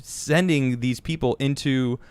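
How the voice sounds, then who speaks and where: An American man hosting a casual political talk show, getting animated and indignant on the news, often restarting his sentences. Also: mid-range voice, a little slow, clear, resonant tone, no echo. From United States. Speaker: host.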